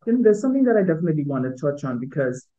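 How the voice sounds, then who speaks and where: A woman's voice: low-pitched, very animated, very fast, dark tone. A woman, interviewing casually as host over a video call. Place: room at home on a video call. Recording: dull video-call audio.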